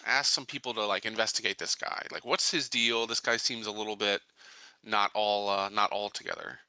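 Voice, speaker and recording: Male tabletop player, lowish and very bright, tense edge, hesitant thinking-aloud delivery, dry audio. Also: steady tone, moderate pace, smooth, no room sound, low-quality recording. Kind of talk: casual game chat, explaining character actions.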